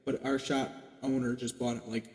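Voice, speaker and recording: A young man in his twenties talks loosely on a podcast, wandering through his thoughts with lots of "like" fillers and casual swearing. His voice sits at an ordinary pitch. The room is echoey, with a long hanging reverb. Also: flat delivery, normal speed, darkish tone. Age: 20s. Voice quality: self-described light boyish voice. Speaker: podcast guest. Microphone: podcast mic.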